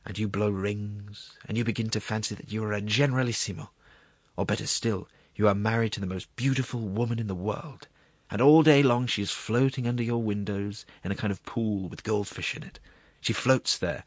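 A man's voice: fairly low pitch, breathy edge, dry recording. A man voicing a wheedling, gluttonous court secretary in a storybook reading, savouring his words in a confiding, coaxing way.

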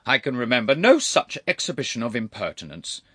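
A man's voice: medium pitch, very animated, moderate pace, fairly bright tone, breathy edge, dry recording, studio quality, pitched above the performer's own voice. A man voicing a haughty, irascible older aristocratic uncle in a storybook reading, stern and pompous, with cutting formal phrasing. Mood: indignant. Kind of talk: audiobook character voice.

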